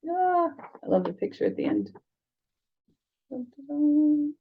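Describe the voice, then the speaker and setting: A woman's voice: sits high, very animated, unhurried, highs cut off. An American woman co-hosting a fan podcast, reading stories aloud and chatting casually about books. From United States. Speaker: podcast co-host and audiobook reader. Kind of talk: story reading and casual book chat.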